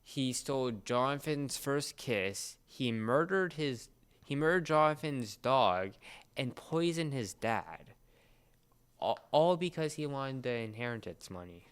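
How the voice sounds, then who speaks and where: Clear-voiced man chatting and explaining, halting delivery. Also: mid-range voice, lively intonation, a bit bright. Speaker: podcast co-host explaining a series. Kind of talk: casual chat, explainer.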